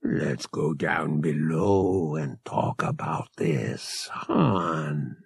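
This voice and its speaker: A man's voice: a bass voice, drawn-out words, vocal fry, breathy edge, no room sound, studio quality, pitched below the performer's own voice, exaggerated voice. A man voicing a sly, menacing older captain in an audiobook, with a folksy, wheedling drawl that coaxes and taunts. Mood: coaxing, soothing.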